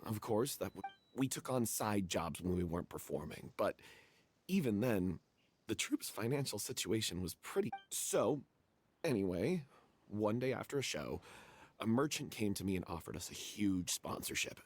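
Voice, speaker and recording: A man voicing a remorseful, cowardly young member of a theatre troupe in a video game, halting and anguished as he confesses. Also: fairly low pitch, sing-song, slight background noise. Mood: confessional, uneasy.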